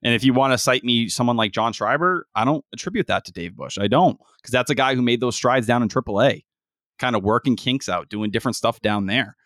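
A man's voice: mid-range voice, a little fast, a bit bright, no room sound. Swearing young podcast man, dry sound. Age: 20s. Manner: rants heatedly, swears, frequent fillers. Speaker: podcast co-host. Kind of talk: casual sports chat.

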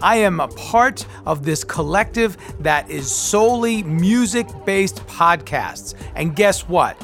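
High-voiced man hosting, music underneath. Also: expressive, takes it slowly, fairly bright tone. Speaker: podcast host and creator. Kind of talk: scripted podcast sign-off and promo.

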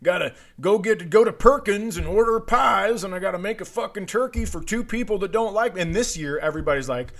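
A man's voice: sits high, brisk, vocal fry, hardly any pauses, a bit bright. A man ranting casually for laughs, sarcastic, profane and irritated. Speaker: comedy podcast host.

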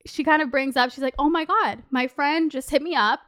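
A woman, telling a candid personal story in a chatty, animated, sweary way full of "like". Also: high voice, brisk, no room sound, clean studio sound. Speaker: podcast host.

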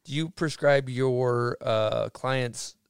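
A man's voice: medium pitch, takes it slowly, gravelly, clear, resonant tone, no room sound, clean studio sound. A man hosting a podcast, chatting casually in a jokey, rambling way with bro humor. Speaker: podcast host. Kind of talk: casual chat.

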